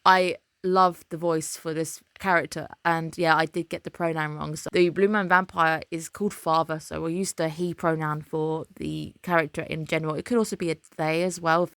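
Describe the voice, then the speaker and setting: A woman's voice: low voice, level tone, moderate pace, few pauses, a bit bright, clean tone, no echo. Slightly ill woman reviewing anime. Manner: opinionated, chatty, emphatic dislikes. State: slightly ill, under the weather. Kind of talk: casual anime episode breakdown.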